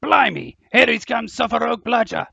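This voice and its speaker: Man acting a gravelly, excitable gruff giant, high-pitched. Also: normal speed, no room sound, exaggerated voice. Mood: alarmed excitement. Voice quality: gravelly, husky.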